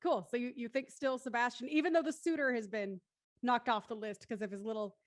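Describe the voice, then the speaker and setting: A woman's voice: fairly high pitch, fairly even intonation, fairly quick, dry recording, clean studio sound. A woman hosting a live stream and introducing guests in a chatty presenting style. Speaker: host.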